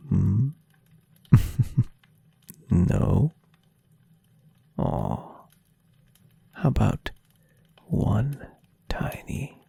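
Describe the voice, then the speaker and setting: A man plays a centuries-old vampire boyfriend for intimate ASMR. His low voice is very breathy and husky, often close to a whisper. He murmurs slowly and tenderly, adoring and playfully teasing. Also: heavily acted. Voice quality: breathy, husky half-whisper with gravelly creak. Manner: soft, soothing, affectionate murmuring.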